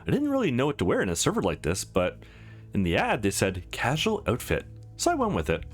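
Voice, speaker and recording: A man's voice: medium pitch, wildly swooping pitch, fairly quick, slightly rough. A man voicing a shy, sweet young woman in a game, bashful and hesitant, with a lilting delivery. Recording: music behind the voice.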